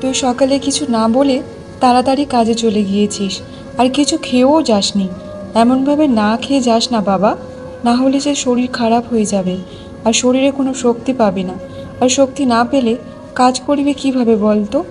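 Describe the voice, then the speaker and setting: A woman's voice: on the high side, normal speed, over music, lightly acted, poor audio. A woman playing a caring, worried mother of a grown son in a cartoon story. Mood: worried, advising. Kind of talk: cartoon dialogue.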